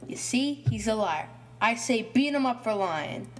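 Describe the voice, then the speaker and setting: A man's voice: extremely high pitch, lively intonation, fairly bright tone, pitched above the performer's own voice, full character voice. A man voicing a taunting schoolboy bully who mocks and threatens in an audiobook horror story. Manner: taunting, slow challenging delivery.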